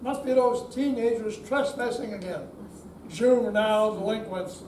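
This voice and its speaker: An older male bank customer, acted by a man grumbles and trusts in a high voice. It is an amateur readers theater piece, picked up from far off in an echoey room with faint noise. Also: normal speed, natural voice. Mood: indignant. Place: indoor senior center performance room.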